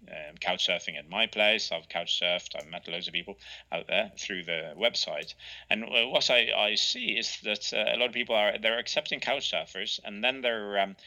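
A man talks through his travel habits in a relaxed interview. His voice is lowish and very bright, and he stops and restarts often, filling gaps with little sounds. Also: rough recording. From Norway. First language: Norwegian. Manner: halting, many restarts and fillers. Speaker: guest, travel author. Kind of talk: conversational interview.

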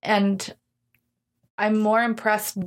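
A woman's voice: mid-range voice, level tone, very slow. Candid woman in her thirties. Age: 30s. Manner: candid, reflective, self-deprecating. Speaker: gym owner being interviewed. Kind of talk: casual conversational interview.

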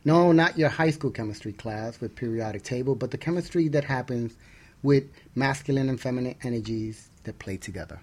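A man's voice: mid-range voice, fairly quick. A middle-aged man co-hosting a radio talk show, chatty and upbeat, affirming as he goes, with some scripted reading.

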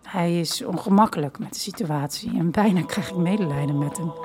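A woman's voice: on the low side, a little fast. A woman reading first-person literary fiction as the narrator of an audio drama.

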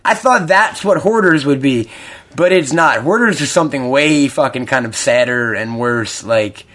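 A man's voice: medium pitch, normal speed, a bit bright. A man in his mid-twenties chatting casually as a podcast co-host, rambling and swearing freely. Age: 20s. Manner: profane, rambling, jokey. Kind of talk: casual chat.

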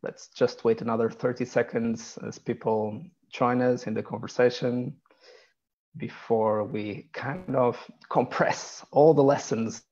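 A man hosting a live video podcast over a video call, introducing his guest with a halting, hesitant delivery. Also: mid-range voice, steady tone, darkish tone, muffled recording. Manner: halting, many ums and restarts. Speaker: podcast host.

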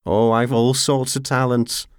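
A man's voice: mid-range voice, level tone, a little slow, clean tone, dry recording, clean studio sound, voice pushed up, natural voice. A man voicing a scrawny, cheeky mercenary brawler in an audiobook, brash, leering and teasing. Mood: cheerful, cheeky. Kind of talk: audiobook character acting.

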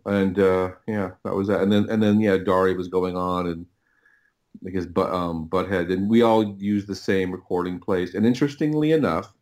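A middle-aged man speaking as a podcast guest, telling stories casually and haltingly, often repeating words as he thinks. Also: low voice, a little mellow. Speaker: podcast guest, audio producer. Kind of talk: casual interview storytelling.

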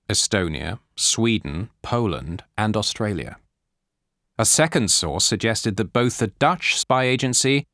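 A man reading nonfiction as an audiobook narrator, measured and clearly articulated, in a scripted style. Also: lowish voice, fairly bright tone, no room sound, clean studio sound. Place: dry studio recording.

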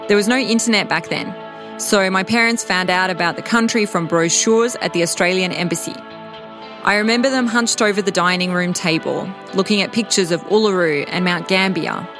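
Calm woman narrating her life story. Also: medium pitch, fairly quick. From Serbia. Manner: calm, reflective, wry. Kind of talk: scripted personal narration. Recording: music bed underneath.

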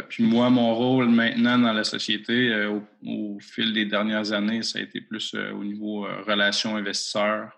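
A man with a Québécois French accent talks through his answers in a gravelly, fairly low voice. He speaks in a flat, even line and stops often to fill the gaps. His tone stays bright and forward while he explains his work from home. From Abitibi-Témiscamingue, Quebec. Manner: hesitant, many fillers. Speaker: mining company executive guest. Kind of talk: podcast interview answers.

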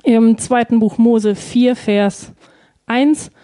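A woman's voice: fairly high pitch, takes it slowly, mellow. A woman interpreting a sermon live, phrase by phrase, hesitating and feeling for words with frequent ums.

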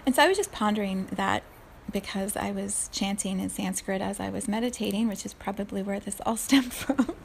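A woman in her forties who grew up in South Africa, speaking in an unhurried, thoughtful solo talk and laughing softly at herself now and then. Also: mid-range voice, gravelly, a little hiss or hum. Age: 40s.

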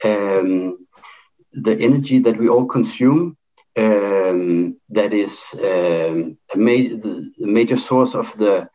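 A middle-aged man from Denmark with a Danish accent, speaking in an online debate, halting and full of fillers and restarts. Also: fairly low pitch, warm tone, muffled recording.

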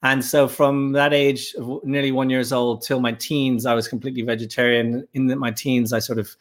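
Man, 50s, podcast guest; reflective and halting, mid-pitched. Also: level tone. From Ireland. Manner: thoughtful, halting, with frequent pauses and fillers. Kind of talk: casual interview talk.

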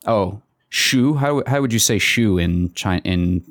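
A man co-hosting a podcast, explaining things casually and haltingly, repeating words. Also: fairly low pitch, lively intonation, no room sound, studio quality. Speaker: podcast co-host. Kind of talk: casual conversation.

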